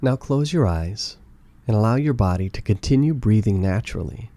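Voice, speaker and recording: A man narrating a guided meditation with calm, gentle, soothing instructions. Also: lowish voice, moderate pace.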